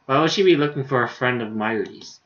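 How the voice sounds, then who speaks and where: A man livestreaming a visual novel, reading the dialogue aloud haltingly with stumbles and self-corrections, and adding casual commentary. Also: medium pitch, fairly bright tone, highs cut off.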